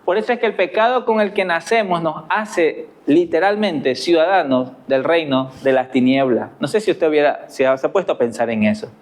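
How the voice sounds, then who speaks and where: A man with an Ecuadorian accent delivers an earnest sermon from the pulpit. His voice sits high for a man, and he leans on rhetorical questions to his listeners. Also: normal speed, few pauses. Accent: Ecuadorian Spanish. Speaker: pastor.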